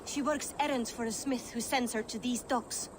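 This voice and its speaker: A woman's voice: fairly high pitch, steady tone, normal speed, noisy background, lightly acted. A woman playing an anxious, earthy Viking-age mother in a video game cutscene, fretful and full of feeling. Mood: distraught. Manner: fretful, emotive.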